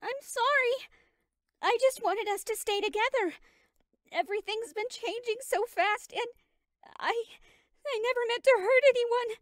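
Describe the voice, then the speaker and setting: A woman's voice: extremely high pitch, unhurried, no echo, pitched above the performer's own voice. A woman voicing a sweet, earnest young cartoon girl with a girlish manner, recorded in a studio. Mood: apologetic, upset. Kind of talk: character voice reel, cartoon acting. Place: treated home studio. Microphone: studio condenser microphone.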